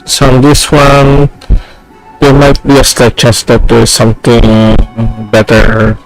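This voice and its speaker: A man's voice: mid-range voice, completely flat delivery, slow pace, faint music behind, poor audio. A man giving casual gameplay commentary, thinking aloud as he plays. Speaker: let's play streamer.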